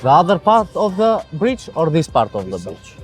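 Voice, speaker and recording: A male co-host with an Albanian accent from North Macedonia and a highish, very animated voice. He banters casually and teasingly outdoors, with faint music behind him. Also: normal speed. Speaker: podcast co-host. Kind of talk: casual street interview banter. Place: outdoors by a city bridge.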